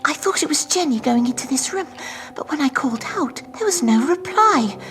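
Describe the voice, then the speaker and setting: A woman's voice: high voice, animated, a little fast, fairly bright tone. A woman playing a plucky, trusting young assistant in a sci-fi audio drama, speaking earnestly and expressively. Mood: shaken, frightened.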